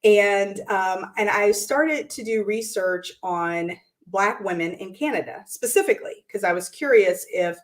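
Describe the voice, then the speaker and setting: A woman hosting her weekly show, hoarse and raspy from losing her voice, talking upbeat with lots of ums and restarts in a room by a window. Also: mid-range voice, measured pace. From United States. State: hoarse from lost voice. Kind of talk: casual talk to camera.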